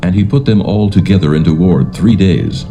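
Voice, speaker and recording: A man narrating dramatised scripture in a solemn, measured, formal manner. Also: low-pitched, animated. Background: faint music.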